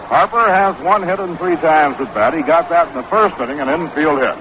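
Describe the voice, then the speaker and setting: A man calling baseball play-by-play on 1960s radio, measured and descriptive, on an old broadcast recording. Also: a little high. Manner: measured, descriptive calls that quicken on action. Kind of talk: 1960s live radio sports commentary. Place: outdoor ballpark broadcast position. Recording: noisy, dull vintage 1960s radio broadcast.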